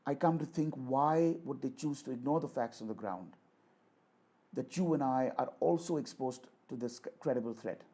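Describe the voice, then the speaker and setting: A man giving a rhetorical political speech in a declamatory, deliberate manner, repeating phrases for emphasis. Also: mid-range voice, warm tone, breathy edge. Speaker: orator reading a voice sample.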